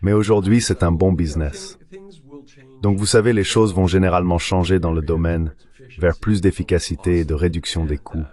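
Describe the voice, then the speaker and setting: A man's voice: low voice, fairly even intonation, normal speed, a little mellow, natural voice. A man delivering an explanatory voice-over translation for an interview guest. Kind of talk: dubbed interview commentary, explanatory.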